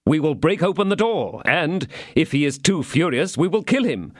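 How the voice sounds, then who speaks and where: Exasperated travellers threaten and protest, put on by a man. The voice is lively and a bit high, with a dry, close sound. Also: normal speed, dry recording. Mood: indignant. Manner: indignant, threatening. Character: angry, exasperated foreign gentlemen.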